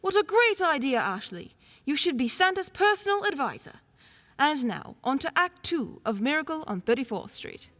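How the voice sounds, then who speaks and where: A woman's voice: high voice, big pitch swings, normal speed, fairly bright tone. A woman playing a genial radio show announcer, with scripted old-time radio delivery. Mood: narrating.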